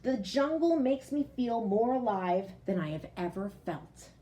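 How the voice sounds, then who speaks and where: A woman reading a picture book aloud to children like a teacher, expressive, pausing to explain and put questions directly to young listeners. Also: fairly high pitch. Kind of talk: storybook read-aloud with explanatory asides.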